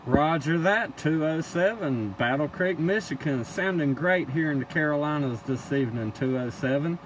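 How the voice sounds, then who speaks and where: A man's voice: on the high side, normal speed, low-quality recording. A man working a CB radio, calling out contacts in clipped call-sign phrases. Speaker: CB radio operator. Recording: very loud vehicle-like background noise.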